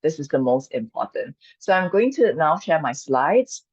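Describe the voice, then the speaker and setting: Gentle woman on a dull video call. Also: deep voice, very animated, moderate pace, slightly rough, dry recording. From Singapore. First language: Chinese. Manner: gentle, inviting, reassuring. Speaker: mindfulness and qigong coach. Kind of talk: guiding an online workshop.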